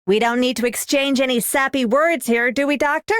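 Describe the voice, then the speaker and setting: A woman's voice: high-pitched, brisk, a bit bright, clean tone. An actress voicing a brash, confident female medic-warrior in a game, blunt and breezy. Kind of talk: scripted game character voice line.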